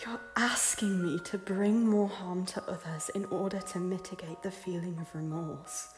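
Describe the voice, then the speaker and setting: A woman's voice: fairly low pitch, fairly even intonation, normal speed, faint music behind, lightly acted. A woman as a tormented, defiant noble lady, echoing. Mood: anguished, resolute. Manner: theatrical, breathy whispers breaking into intense declarations. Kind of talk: dramatic audio drama acting. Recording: echoey hall with long reverb.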